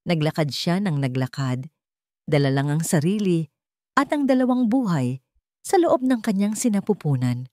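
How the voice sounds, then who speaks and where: A woman's voice: low-pitched, warm tone, no echo, clean studio sound. A woman with a Filipino accent giving a scripted, dramatic narration of a story.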